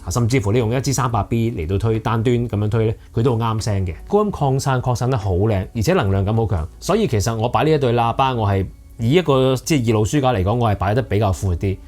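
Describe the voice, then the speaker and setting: A man's voice: mid-range voice, animated, fast talker, a little mellow, soft background music. A man with a Hong Kong accent giving a conversational, explanatory product review as host. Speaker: audio equipment reviewer and host.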